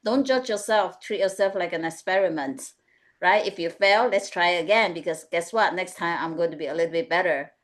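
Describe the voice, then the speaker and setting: A woman's voice: on the low side, fairly quick. Woman. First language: Mandarin. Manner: earnest, advice-giving, often adds "right?". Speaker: panelist, executive coach. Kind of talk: webinar panel discussion.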